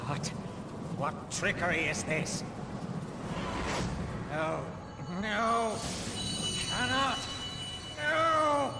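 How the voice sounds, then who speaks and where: An arrogant dark sorcerer from a fantasy game, speaking slowly with big theatrical swoops of pitch. He is menacing and vengeful, over clearly noisy game ambience. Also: sing-song, exaggerated voice. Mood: panicked disbelief. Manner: grandiose, deliberate, taunting. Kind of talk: dramatic fantasy video game acting.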